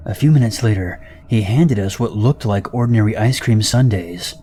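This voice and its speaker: Low-voiced man narrating horror stories. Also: normal speed. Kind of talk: scripted narration of reader-submitted stories. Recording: narration over loud background music.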